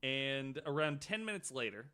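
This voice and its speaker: A man's voice: mid-range voice, lively intonation, moderate pace, sharp tone, clear, resonant tone. A man hosting a podcast, telling true-crime stories casually with profane, joking asides. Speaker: podcast host.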